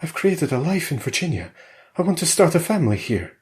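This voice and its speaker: A man's voice: on the high side, big pitch swings, brisk, a little mellow, voice pushed up. A man voicing a hopeful Russian scientist husband who stubbornly reassures his wife, in a spoken story. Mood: pleading.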